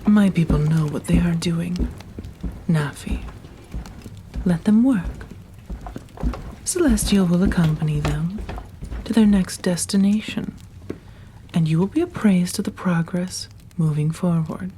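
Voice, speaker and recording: A woman playing a cold, imperious ancient female vampire elder in an ASMR roleplay, speaking formally and with measured command. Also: lowish voice, noisy background, natural voice.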